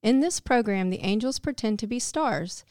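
A woman's voice: fairly high pitch, expressive, normal speed, a little mellow, no echo, studio quality. A woman giving a scripted, reflective devotional narration. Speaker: devotional speaker.

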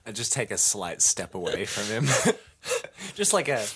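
Male tabletop player, casual role-play, lively intonation, sharp bright tone, dry and appeasing. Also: lowish voice, measured pace, husky.

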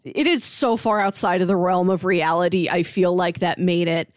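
A woman in casual, animated conversation as a podcast host, talking through a TV review with loose, conversational phrasing. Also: lowish voice, normal speed, no room sound, studio quality.

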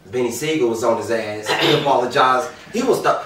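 A man with a mid-range voice talks bluntly on a podcast, cursing freely and bursting out loudly. He is picked up from across an echoey room. A clear steady hiss runs behind him. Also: mid-range voice, brisk, distant mic. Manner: blunt, profane, loud outbursts. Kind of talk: casual heated chat.

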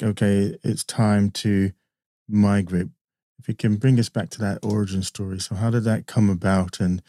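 A man hosting a podcast who speaks earnestly and slowly, leaning hard on key words. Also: on the low side, dark tone, no echo, studio quality. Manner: earnest, slow and emphatic. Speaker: podcast host.

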